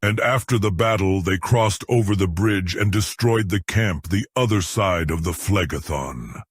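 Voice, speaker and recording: Man as a husky, low-voiced demon messenger. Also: no echo, clean studio sound. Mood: grave reporting. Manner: formal, grave, measured. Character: deferential demon messenger bearing grim news to his king.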